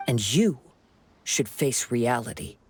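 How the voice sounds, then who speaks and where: An actor playing a calm, principled young wandering samurai in a video game, speaking in a measured, earnest, eloquent way. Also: male voice, medium pitch, a little hiss or hum. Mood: firm, challenging. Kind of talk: dramatic video game voice acting.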